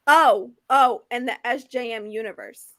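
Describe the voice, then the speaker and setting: High bright girly-pitched woman, dry close sound, chatty book cohost, repeats herself for emphasis. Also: unhurried, dry recording. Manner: animated, repeats phrases for emphasis; addresses viewers as "y'all". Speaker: book discussion co-host. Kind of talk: casual chat. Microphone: livestream video call.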